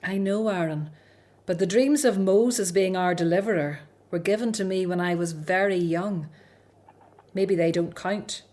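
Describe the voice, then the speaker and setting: A woman plays a doubting, anxious Hebrew prophetess in a radio drama. Her voice is fairly low for a woman. She speaks gravely, in measured phrases. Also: a little mellow, natural voice. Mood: doubtful, gentle. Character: worried, doubting Hebrew prophetess aunt, female. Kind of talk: scripted radio drama acting.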